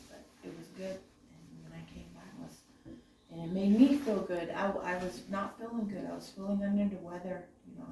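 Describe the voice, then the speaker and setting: A woman from the Navajo Nation with a raspy voice from illness, talking reflectively and haltingly with many "you know"s. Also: lowish voice. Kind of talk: personal reflective talk. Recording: echoey room, distant mic, faint noise.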